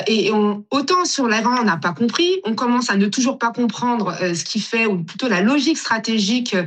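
A woman's voice: medium pitch, a bit bright. A woman speaking as a commentator in an analytical live discussion. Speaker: panel commentator.

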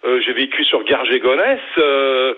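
Husky-voiced man on a tinny phone line. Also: medium pitch. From Brittany, western France. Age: 50s. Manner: halting, repeats and restarts words. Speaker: radio caller. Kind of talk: phone-in call.

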